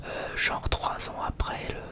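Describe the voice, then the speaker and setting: Whispering ASMR creator, rambling and hesitant. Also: measured pace. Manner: rambling, hesitant, repeats phrases. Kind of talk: whispered ASMR chit-chat.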